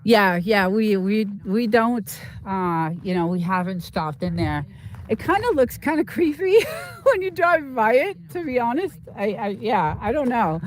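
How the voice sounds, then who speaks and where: A woman's voice: a little high, big pitch swings, measured pace. A woman host chatting casually and informally on a livestream, rambling and often repeating herself as she talks to viewers.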